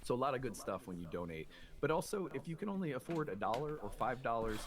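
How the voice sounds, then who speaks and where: A man's voice: medium pitch, sing-song, fast, crowd noise. A man hosting a conversational astrology show, explaining things in an earnest way. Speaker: show host.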